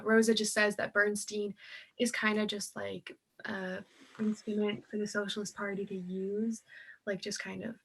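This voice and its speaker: A woman's voice: medium pitch, pushed and bright. A young woman co-hosting a casual book chat, speaking informally and peppering her sentences with "like". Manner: informal, heavy use of "like". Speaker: co-host of a book read-along series.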